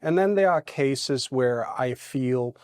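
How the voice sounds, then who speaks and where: A man from Germany with a German accent, a guest attorney in a studio interview who talks haltingly with many fillers and restarts. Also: mid-range voice, smooth, no room sound.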